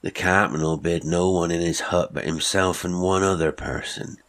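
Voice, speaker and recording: A man's voice: low voice, steady tone, normal speed, fairly bright tone. A man voicing a small, surly man marooned for years, speaking in terse, old-fashioned phrases.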